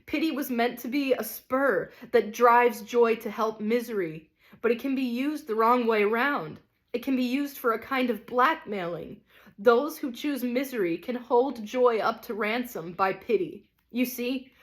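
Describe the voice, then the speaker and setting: Soft, earnest heavenly lady, put on by a woman reader, high and pleading. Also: measured pace, close to an everyday voice. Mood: urgent. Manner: tender, urgent, pleading.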